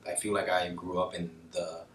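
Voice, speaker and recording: A deep male voice, picked up at a distance from the mic with roomy echo. He is a podcast guest from Colombia, speaking in a reflective, personal interview style. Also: little pitch movement, normal speed, fairly bright tone.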